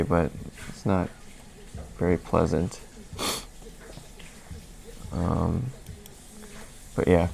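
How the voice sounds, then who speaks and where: A man's voice: low-pitched, creaky, darkish tone, a little hiss or hum. A man hosting a live stream, chatting casually and rambling as he answers questions from chat, a little sniffly. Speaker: livestream host.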